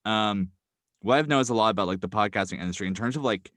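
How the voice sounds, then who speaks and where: A young man, a guest writer, speaking casually and quickly with many fillers like "um" and "like.". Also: on the low side, a bit bright, no room sound. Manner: quick, filler-heavy, thoughtful. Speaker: guest, audio drama writer. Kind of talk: casual interview talk.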